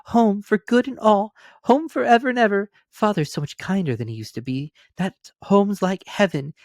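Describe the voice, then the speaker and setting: A man's voice: high voice, moderate pace, a little mellow, dry recording, studio quality, voice pushed up. A man voicing a tiny, loving little girl with an eager, gushing, childish manner, in a storybook reading. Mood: gleeful, tender.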